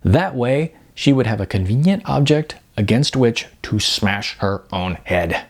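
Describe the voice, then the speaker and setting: A man's voice: mid-range voice, lively intonation, a little slow. A man narrating comic fiction expressively, with a wry, emphatic, sardonic delivery. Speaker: audiobook narrator.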